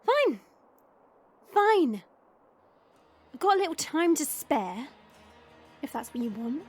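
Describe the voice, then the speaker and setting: Woman playing a very high, brash demonic girl. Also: sing-song, slow pace, faint music behind, full character voice. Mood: mock annoyance. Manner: sarcastic, theatrical, exclaiming and drawling. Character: brash, mocking young demonic woman, playful then dangerous.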